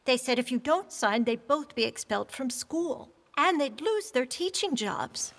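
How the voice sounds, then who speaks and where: Lady playing a worried grandma nagging the family, high voice, echoey stage drama. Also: animated, unhurried, fairly bright tone, exaggerated voice. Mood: worried, urging. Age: older. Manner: urging, worried. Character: anxious grandmother urging her family. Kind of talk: stage drama acting, English dub. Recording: reverberant stage hall.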